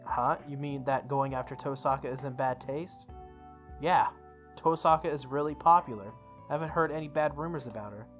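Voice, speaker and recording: A woman's voice: very deep voice, normal speed. A woman voicing an earnest, puzzled schoolboy who questions and protests in a visual novel. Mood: questioning. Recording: music playing behind the voice.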